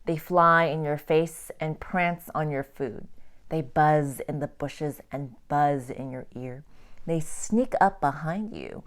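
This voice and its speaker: A woman reading a children's picture book aloud like a teacher, with expressive, deliberate phrasing for young listeners. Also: sits low. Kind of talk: children's storybook read-aloud.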